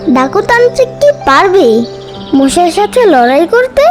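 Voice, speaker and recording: A woman's voice: very high voice, sing-song, measured pace. A woman narrating a scripted children's cartoon story. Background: music.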